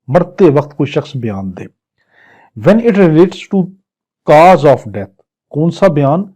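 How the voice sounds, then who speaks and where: A man giving a law lecture, reading English legal clauses slowly and then explaining them. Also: a little high, dark tone. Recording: dull lo-fi recording.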